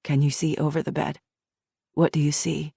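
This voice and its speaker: A woman voicing a calm man who guides someone through a memory with soft, steady questions. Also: low-pitched, moderate pace, vocal fry, breathy edge, dry recording, clean studio sound, full character voice. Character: calm man guiding a hypnotic recall.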